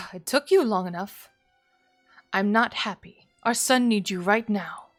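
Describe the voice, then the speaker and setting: A woman's voice: mid-range voice, a little slow, lightly acted. A woman voicing an exasperated, reproachful wife and mother in a visual novel game. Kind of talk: visual novel dialogue read aloud. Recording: music behind the voice.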